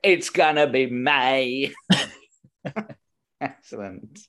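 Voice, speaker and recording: A man's voice: medium pitch, drawn-out words, fairly bright tone. A man with a British accent co-hosts a comedy podcast. His talk is casual and chatty, with a jokey manner and a mid-range voice. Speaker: podcast co-host.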